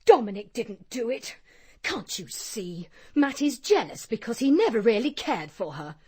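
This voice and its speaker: A woman's voice: on the high side, sing-song, normal speed, mellow, slightly rough. A woman playing a wounded, defensive and fiercely loyal character in a radio drama, pleading with raw emotion. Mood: distraught, bitter.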